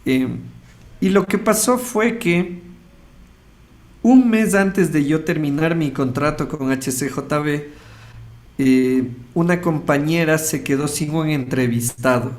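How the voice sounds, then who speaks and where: A man's voice: fairly high pitch, slow. A man with an Ecuadorian accent, casually telling a personal story as an interview guest, acting out bits of dialogue as he goes. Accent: Ecuadorian Spanish. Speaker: radio host interviewed as guest.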